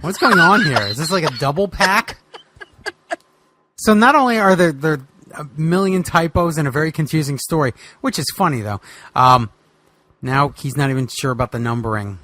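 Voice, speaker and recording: A man's voice: a little high, normal speed, bright, forceful tone. A man co-hosting a podcast in casual comic banter, sounding baffled and complaining. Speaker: podcast co-host.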